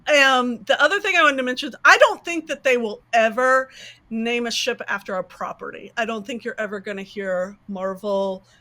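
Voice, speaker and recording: A woman panelist in casual podcast talk, animated and thinking aloud in long runs. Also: fairly high pitch, normal speed.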